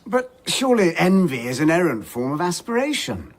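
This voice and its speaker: An actor playing a philosophical gentleman in an audio drama, making measured, probing challenges. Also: on the high side, very animated. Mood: challenging.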